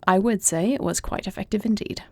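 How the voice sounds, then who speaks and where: A woman playing an earnest young princess and heir in an intimate audio roleplay, soft-spoken and formal in her phrasing. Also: mid-range voice, brisk, no room sound, natural voice. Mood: fond, playful. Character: earnest young princess and warrior heir, anxious yet tender.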